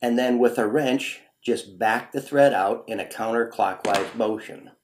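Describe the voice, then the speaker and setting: A man's voice: medium pitch, a little slow, slight background noise. A man presenting a product in scripted how-to demonstration narration.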